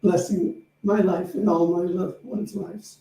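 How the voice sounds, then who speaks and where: A man with a high voice speaks as a reverend leading an informal service. He is picked up from a distance in an echoing room, with some noise underneath. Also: fairly even intonation, unhurried, vocal fry, mellow. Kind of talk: informal spiritual service talk and affirmation. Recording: roomy, noisy, distant microphone pickup.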